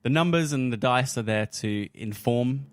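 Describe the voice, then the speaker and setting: A man's voice: mid-range voice, moderate pace. An Australian man hosting a casual discussion, playful and encouraging with the others. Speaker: discussion host.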